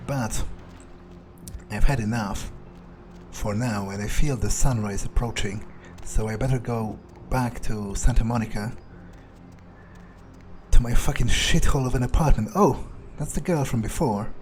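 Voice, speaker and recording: A man's voice: medium pitch, unhurried, slight background noise, lightly acted. A man voicing a cynical, self-serving vampire, thinking aloud as he roleplays through a video game. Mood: musing, cynical. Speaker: gamer roleplaying his character.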